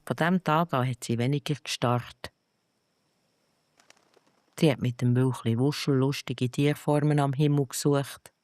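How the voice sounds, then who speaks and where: A bedtime storyteller with a Swiss German accent reads at an unhurried pace. The delivery is gentle and deliberate, made for children, and the recording is close and dry. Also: mid-range voice, no room sound. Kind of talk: scripted narration of a bedtime story.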